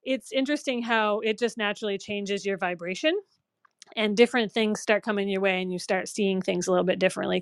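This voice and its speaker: A woman's voice: mid-range voice, gravelly, dry recording. Chatty upbeat lady calling in, lots of ums, dry sound. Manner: chatty, upbeat, many fillers. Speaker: guest caller. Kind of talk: casual chat. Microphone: remote call.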